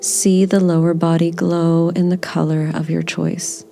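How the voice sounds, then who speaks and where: Woman, meditation guide, very slow, even-toned, gentle, soothing, faint background noise. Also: lowish voice, gravelly. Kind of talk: guided body scan meditation.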